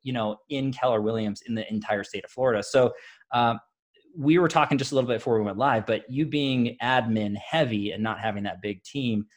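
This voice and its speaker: A man's voice: mid-range voice, brisk. Man, podcast host, effusive, praising, agreeable, live interview. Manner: effusive, repeats praise, frequent hmm and wow. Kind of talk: enthusiastic interview hosting.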